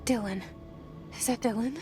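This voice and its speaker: An actress voicing a guarded, haunted and determined young woman's inner monologue in a game, hushed and often whispering. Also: fairly high pitch, normal speed, lightly acted. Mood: urgent, intent. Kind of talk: game cutscene internal monologue. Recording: music under the voice.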